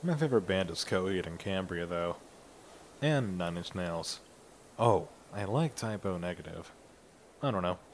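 A man's voice: low-pitched, lively intonation, a little slow, slight background noise. A man playing a shy, reserved young metalhead student, reluctant and understated, in an ASMR roleplay. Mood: casual.

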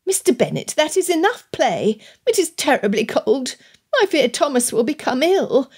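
A proper, worrying mother from a period romance voiced by a woman narrator. The pitch is raised above an easy speaking level. She is formal and fretful, in audiobook dialogue style. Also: sing-song, normal speed, a little mellow, breathy edge, exaggerated voice. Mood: worried.

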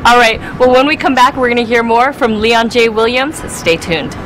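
A woman hosting a TV interview, asking brisk, upbeat questions outdoors. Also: fairly high pitch, over music, poor audio. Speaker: host. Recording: clear traffic noise outdoors. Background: street traffic.

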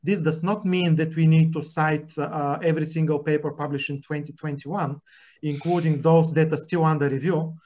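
Man, halting academic talk. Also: on the high side, fairly even intonation, darkish tone. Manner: frequent hesitations, restarts. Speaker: journal editor, panelist. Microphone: video call. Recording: muffled video call audio.

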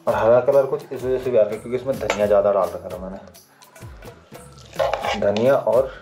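Low-voiced young man vlogging. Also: steady tone, brisk. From Uttarakhand, India. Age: 20s. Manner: playful, chatty, addresses viewers as friends. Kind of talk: casual vlog narration to viewers. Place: village house kitchen and stone courtyard. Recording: music laid under the voice. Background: music.

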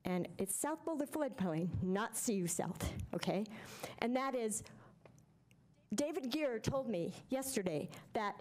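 A middle-aged woman speaks at a council podium microphone, her voice sitting somewhat high. She is blunt and exasperated as she makes her public comment. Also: animated, a little slow, darkish tone. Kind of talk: public comment at a city meeting. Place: council chamber.

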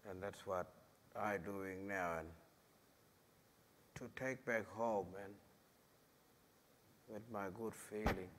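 Slow-speaking man, halting and low. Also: steady tone, fairly bright tone, slight background noise. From northeastern Arnhem Land. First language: Wagilak. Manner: halting, simple phrasing in short fragments. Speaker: ceremonial song performer on a panel. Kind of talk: panel talk. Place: concert hall stage.

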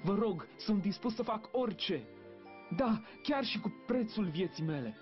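An actor playing an earnest, brave, lovestruck young prince in a dubbed cartoon, with an ardent, declamatory delivery. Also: male voice, high voice, animated. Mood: pleading, grateful. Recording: dull lo-fi dub with music.

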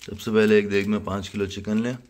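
A man's voice: lowish voice, a little fast. A young man hosting his cooking channel, casually explaining a recipe in a home kitchen and slipping English phrases into his speech. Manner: mixes Hindi-Urdu with English phrases, relaxed and chatty. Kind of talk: casual cooking demonstration, voice-over.

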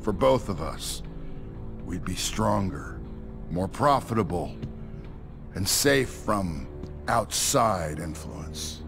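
An actor playing a calculating older corporate villain in a game cutscene, deliberate and persuasive. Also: male voice, low-pitched, sing-song, gravelly, exaggerated voice. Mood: persuasive, scheming. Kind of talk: video game cutscene acting. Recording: music behind.

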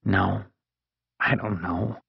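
A man's voice: medium pitch, a little slow, dry recording. An anxious, stubbornly logical schoolboy played by a male narrator in a children's audiobook. He answers in short, defensive phrases, recorded dry with a slightly muffled sound. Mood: unsure.

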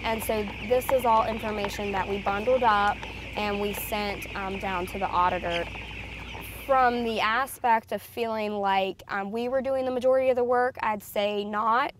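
A woman's voice: mid-range voice, fairly even intonation, moderate pace, background noise. A woman in her thirties from a farming family, interviewed outdoors on a lapel mic, talking conversationally with frequent "um" fillers. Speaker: farm family member. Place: outdoors in tall grass by trees.

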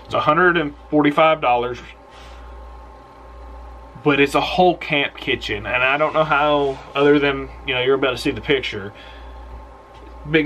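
Bright-voiced young man casually recommending gear. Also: medium pitch, slight background noise. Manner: relaxed, rambling, enthusiastic with trailing asides. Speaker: outdoors channel host. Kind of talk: casual product recommendation vlog. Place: small indoor room.

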